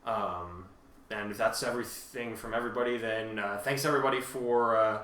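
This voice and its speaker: A man's voice: on the low side, steady tone, fairly quick, bright, forceful tone, a little hiss or hum. A man hosting in an informal way, talking and reading his own fiction aloud. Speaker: podcast host and story author. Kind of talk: casual chat and dramatic story reading.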